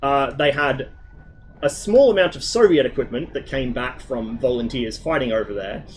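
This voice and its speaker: A man's voice: medium pitch, expressive, moderate pace, a little hiss or hum. Rambling, swearing man chatting to viewers. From Australia. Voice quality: mild speech impediment on L sounds. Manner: rambling, swears a lot, jumps between tangents. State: lingering cough. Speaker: streamer. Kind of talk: casual rambling chat with stream viewers.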